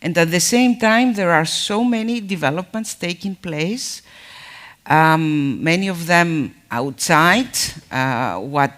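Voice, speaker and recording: Slow, slightly high-voiced man, formal conference welcome, measured with many fillers. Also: animated, fairly bright tone. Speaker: conference speaker.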